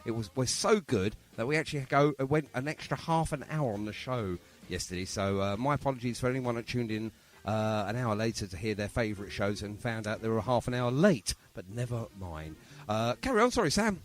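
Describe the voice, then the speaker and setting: A male radio host in casual interview mode. His pitch moves up and down in a lively way as he rambles along, chatty and ready with a joke. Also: fairly quick, few pauses. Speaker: radio show and podcast host.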